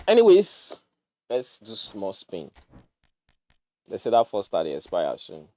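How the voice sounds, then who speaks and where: A man's voice: fairly low pitch, takes it slowly, no echo. A young Nigerian man giving loose, joking commentary while he plays on a livestream, slipping into pidgin slang. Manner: animated, jokey, mixes pidgin slang. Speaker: gaming streamer.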